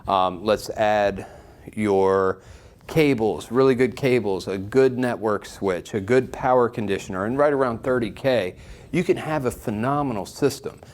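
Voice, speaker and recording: A man's voice: on the low side, measured pace, darkish tone. A man speaking as an enthusiastic shop presenter, giving an informal product review straight to viewers. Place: shop listening room, indoors.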